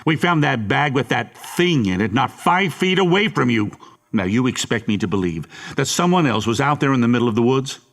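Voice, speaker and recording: An actor playing a menacing, condescending police interrogator in an audio horror drama, with a sardonic, deliberate and bullying manner. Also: male voice, medium pitch, expressive. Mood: accusing, incensed.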